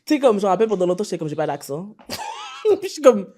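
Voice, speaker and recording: A woman's voice: medium pitch, big pitch swings, quick pace, warm tone. A woman with a Haitian Creole accent sharing personal experience in a casual, conversational way as a podcast panelist.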